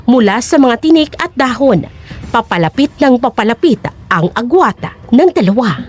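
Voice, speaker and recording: A woman's voice: on the high side, sing-song, normal speed, darkish tone. A woman narrating an audio drama in a dramatic, scripted storytelling style.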